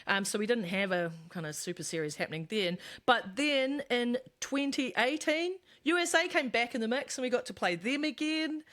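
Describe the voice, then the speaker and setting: Lively New Zealand woman podcaster. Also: a little high, normal speed, hardly any pauses. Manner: chatty, laughs often, tells anecdotes. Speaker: podcast co-host. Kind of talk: casual podcast chat.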